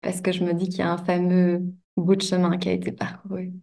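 Woman guest, 30s, dark even tone, hesitant, distant mic. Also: fairly low pitch, gravelly. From Belgium. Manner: slow, thoughtful, frequent hesitations. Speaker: guest, coach. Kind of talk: personal interview storytelling.

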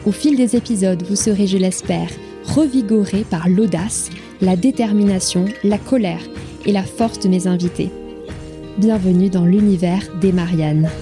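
A woman's voice: medium pitch, normal speed, over music. A woman hosting an interview podcast, presenting and putting questions to her guest. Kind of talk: scripted podcast introduction and interview.

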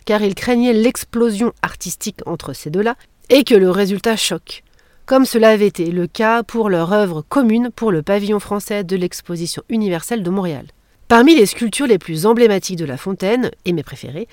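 Podcast host, woman, mid-pitched, teasing scripted delivery, dry close mic. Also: a little mellow, no echo. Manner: playful, jokey asides.

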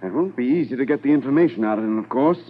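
A man's voice: medium pitch, lively intonation, a little hiss or hum, lightly acted. Man acting a tinny old-radio police commander. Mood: brisk, businesslike. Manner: measured, authoritative. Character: commanding male Mountie detachment officer narrating a case. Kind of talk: 1950s radio drama acting and narration. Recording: tinny 1950s radio transcription.

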